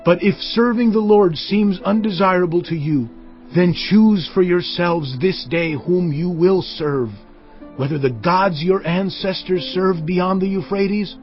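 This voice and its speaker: An actor playing a stern, commanding Israelite leader, declaiming solemnly and emphatically in a dramatized audio Bible. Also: male voice, sits high, measured pace, muffled recording. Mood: urging, resolute. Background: music.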